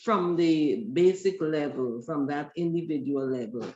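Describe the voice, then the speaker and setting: A woman from Guyana, a researcher, presenting her work in an academic webinar in a measured, deliberate way. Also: low voice, warm tone. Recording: echoey, dull call audio.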